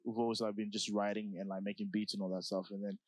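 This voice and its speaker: A man's voice: on the low side, level tone, fast talker, dry recording. A young man from South Sudan, talking about his music in a laid-back, slangy way, full of "like" and "you know". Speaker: rapper guest. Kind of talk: casual interview.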